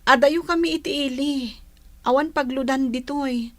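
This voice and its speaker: A woman's voice: high voice, normal speed, a bit bright. A woman with an Ilocano accent playing a homesick, long-suffering young village wife in a radio drama, plaintive and pleading. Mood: homesick, obliging.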